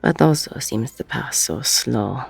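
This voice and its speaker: A woman playing a weary, regretful ex-convict bar owner in an intimate audio roleplay, with a husky, gravelly voice and a reflective delivery full of pauses. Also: extremely low pitch, expressive, faint music behind, heavily acted. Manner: slow, reflective, pausing mid-sentence.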